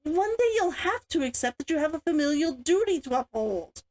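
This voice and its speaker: Lady reading as a bossy fed-up big sister, family matriarch type, scolding, high voice. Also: big pitch swings, normal speed, a little mellow. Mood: stern. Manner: growling, muttering, scolding. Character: stern, exasperated elder sister, dutiful family matriarch.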